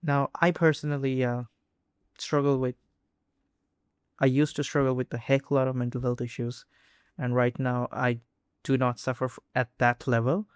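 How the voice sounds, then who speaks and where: A man's voice: mid-range voice, level tone, smooth, no echo, clean studio sound. A young man from India with an Indian English accent, talking casually to camera, hesitant with frequent restarts and fillers. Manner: halting, many restarts and fillers. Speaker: vlogger. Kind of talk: casual personal talk to camera.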